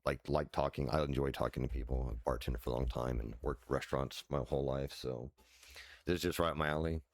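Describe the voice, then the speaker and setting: Very deep-voiced man rambling casually. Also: fairly even intonation, a little fast, no echo, studio quality. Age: 40s. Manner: loose, rambling, many fillers and restarts, swears casually. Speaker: streamer. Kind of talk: casual rambling chat while sim racing. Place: his house.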